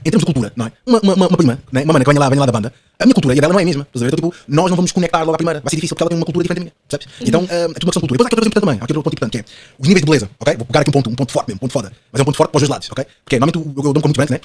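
A man in his twenties with a European Portuguese accent, talking as a podcast guest in an animated, slangy way with lots of fillers and tag questions. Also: a little high, very fast, dry recording. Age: 20s. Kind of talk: casual podcast conversation.

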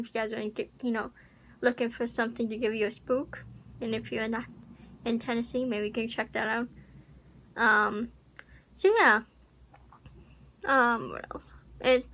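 A woman's voice: fairly high pitch, fairly bright tone, poor audio. A young woman vlogging in a casual chat, rambling and unsure, with lots of fillers, recorded close on a webcam in a small bedroom. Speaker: YouTuber. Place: small pink bedroom with air conditioner. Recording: home recording with audible AC hum.

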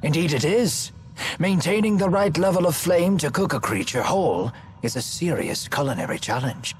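A man voicing a courtly, wise elderly gentleman in a video game, formal, measured and precise. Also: on the high side, lively intonation, slight background noise, close to an everyday voice. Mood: composed. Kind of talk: English video game voice acting. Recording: game music behind the voice.

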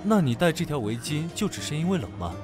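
An actor playing a brash, lovesick teenage schoolboy in an audio drama, grumbling and easily exasperated. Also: male voice, fairly high pitch, big pitch swings, quick pace, over music. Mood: grumpy hopeful. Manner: foul-mouthed, grumbling, swinging into outbursts. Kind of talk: modern audio drama acting.

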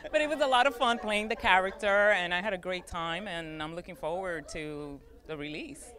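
A woman's voice: fairly low pitch, unhurried, crowd noise. A middle-aged woman, an actress, chatting casually as an interview guest on a handheld microphone. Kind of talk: casual red-carpet interview in English. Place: indoor event backdrop.

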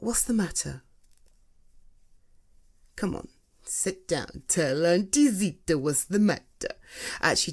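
A woman performs a supportive British friend in an intimate ASMR roleplay. Her voice sits a little low and moves very slowly. She speaks conversationally and haltingly, reassuring with a gentle, teasing touch. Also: heavily acted. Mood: concerned, gentle.

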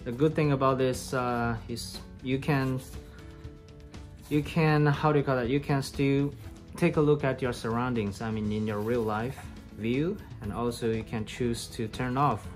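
Halting man talking at an unhurried pace. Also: medium pitch, fairly even intonation, soft background music. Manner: halting, searching for words. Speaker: vlogger. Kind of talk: casual unboxing and first-use demonstration. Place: room at home.

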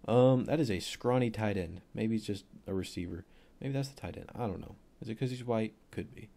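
Low-voiced young man with a cold. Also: brisk, gravelly, mellow. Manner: rambling, offhand, thinks aloud with many fillers. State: sick, voice deeper than usual. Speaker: football film analyst. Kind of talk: casual screen-recorded film breakdown.

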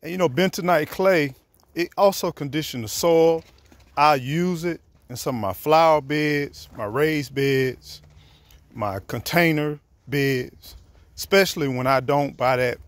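A man's voice: on the high side, measured pace, no echo. A man, casually vlogging about his homestead outdoors, filmed on a handheld camera at arm's length. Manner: relaxed, conversational, drops to near whisper at times. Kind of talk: casual walking vlog. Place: outdoors on a wooded rural homestead.

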